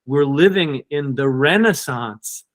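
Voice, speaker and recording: Excited man hosting a video call. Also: a little high. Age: 50s. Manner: excited, fast and emphatic. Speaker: host. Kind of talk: enthusiastic interview introduction.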